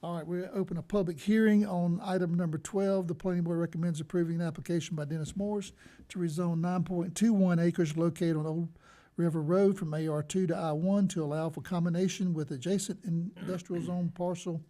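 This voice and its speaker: Dark, soft-voiced man chairing a meeting. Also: high-pitched, fairly even intonation, brisk, hardly any pauses. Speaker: chair of a county board meeting. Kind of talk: formal meeting procedure with informal asides. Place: public meeting room. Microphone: meeting microphone.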